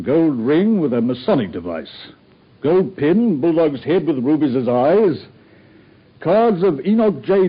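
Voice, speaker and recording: A harried, self-assured inspector with a bluff, slightly defensive manner in an old radio play. The voice is husky and rather high, rising and falling in a lively way. The broadcast sound is dull and lo-fi. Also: male voice. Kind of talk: radio drama acting.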